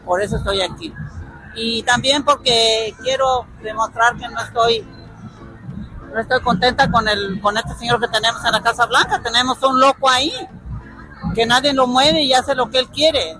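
A woman's voice: fairly high pitch, normal speed, pushed and bright, a little hiss or hum, rough recording. Indignant woman at a street march. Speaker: march participant. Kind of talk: street interview.

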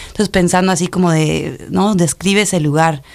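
A woman from Mexico City with a Mexico City Spanish accent, speaking as an interview guest in a reflective, thoughtful way with many pauses and restarts. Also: on the low side, fairly even intonation, vocal fry.

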